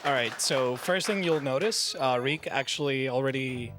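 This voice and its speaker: A man's voice: mid-range voice, normal speed, loud music behind the voice, a loud crowd. A man co-commentating a video game speedrun in a casual, chatty style.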